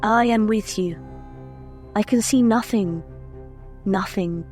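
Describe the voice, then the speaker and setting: A woman's voice: medium pitch, takes it slowly, soft background music, close to an everyday voice. A woman playing an eerie, passive young woman speaking dreamily from a hypnotic trance in a radio drama. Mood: entranced, uneasy. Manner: dreamy, hushed incantation in short phrases. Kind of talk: old-time radio drama acting.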